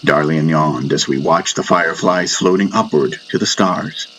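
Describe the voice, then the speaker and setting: A man narrating a first-person fantasy audiobook in measured storytelling fashion. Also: extremely low pitch, fairly bright tone, a little hiss or hum.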